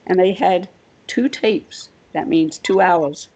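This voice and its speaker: A woman's voice: lowish voice, takes it slowly, darkish tone. A woman from Wales with a British accent, a guest telling a personal story, chuckling often and acting out quoted voices. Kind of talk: anecdotal storytelling.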